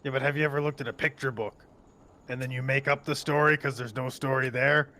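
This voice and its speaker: A man with a creaky voice, bantering and roleplaying casually as a player in a tabletop game. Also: mid-range voice, steady tone, a little fast, pushed and bright.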